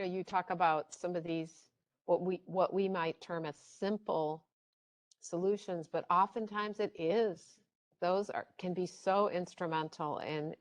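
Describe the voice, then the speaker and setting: A woman's voice: lowish voice, gravelly. A woman hosting a webinar, commenting in a casual discussion style over online call audio.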